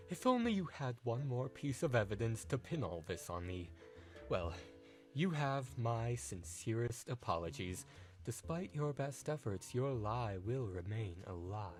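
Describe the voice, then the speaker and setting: A man playing a smug, condescending trial witness in an audio drama, with a mocking, theatrical manner. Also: medium pitch. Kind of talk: amateur audio drama acting.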